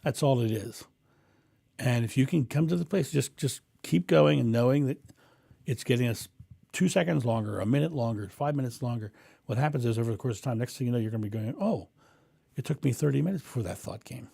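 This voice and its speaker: A man with a booming voice hosting a live stream, answering viewers' questions in a rambling, animated way and acting out thoughts. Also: brisk, creaky, slightly rough, no echo, clean studio sound. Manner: animated, acts out inner thoughts with raised voice; rambling with frequent ums and restarts. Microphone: condenser mic through a mixing board.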